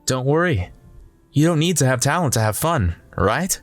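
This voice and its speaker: A man's voice: mid-range voice, big pitch swings, normal speed, soft background music, lightly acted. A man voicing an earnest, determined teenage boy volleyball setter in a manga dub, reassuring and modest.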